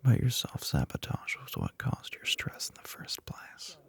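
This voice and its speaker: A man playing a smug, teasing, telepathic young male classmate in an ASMR roleplay, with a husky, breathy, often whispered voice. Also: fairly bright tone, close to an everyday voice. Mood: knowing, teasing. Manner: smug, teasing, drawn-out knowing remarks.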